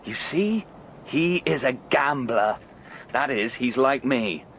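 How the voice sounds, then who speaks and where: A man's voice: medium pitch, lively intonation, slow pace, fairly bright tone. A man acting in a radio drama, playing a restless, thrill-seeking gambler who justifies himself intensely and intimately. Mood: goading, excited. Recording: clear background vehicle noise.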